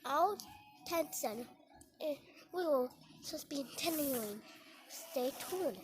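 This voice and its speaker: High, childlike voice reading halting alerts. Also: animated. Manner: halting, stumbling reading with garbled words. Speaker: amateur video creator. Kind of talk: mock emergency alert announcement. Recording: noisy homemade recording with clicks.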